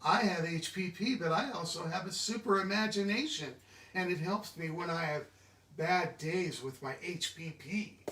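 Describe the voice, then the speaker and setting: Echoey, high-pitched man reading to kids. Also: normal speed. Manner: expressive, interactive, asks listeners questions. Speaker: children's book reader. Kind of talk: animated children's storybook read-aloud. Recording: echoey room, distant mic.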